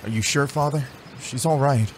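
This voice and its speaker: An actor playing an anxious young soldier and devoted son in a fantasy audio drama. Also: male voice, medium pitch, moderate pace, slight background noise, natural voice. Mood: worried. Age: young adult.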